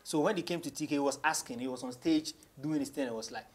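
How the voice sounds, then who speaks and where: A man's voice: fairly high pitch, fast talker, slightly rough. A young Ghanaian man, a rapper being interviewed, casually telling stories in a mix of English and Twi. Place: small radio studio office, seated on a couch.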